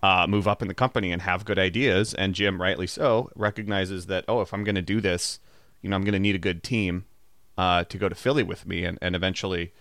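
A man's voice: low voice, fairly even intonation, fairly quick, fairly bright tone, dry recording. A man co-hosting a podcast, chatting casually and informally about a TV show, often saying "like". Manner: informal, peppered with "like". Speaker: podcast co-host.

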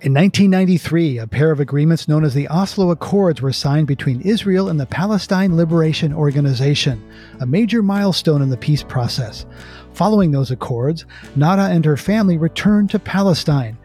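A man's voice: on the high side, animated, brisk, mellow, soft background music. A man hosting an interview programme, moving between scripted narration and questions to his guests.

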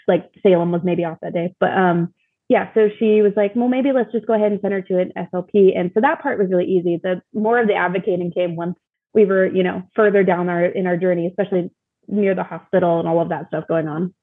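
A woman's voice: on the low side, fairly even intonation, quick pace, husky, dry recording. A young woman guest telling a personal story casually and candidly, in informal speech peppered with "like". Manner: informal, candid, often uses "like". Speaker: interview guest, mother sharing her experience. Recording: thin, phone-like call audio.

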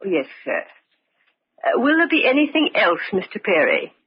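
An actress playing a polite, deferential housemaid in old-time radio drama style. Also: female voice, medium pitch, huge pitch swings, normal speed, breathy edge, full character voice. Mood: deferential, hesitant. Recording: noisy, muffled old radio recording.

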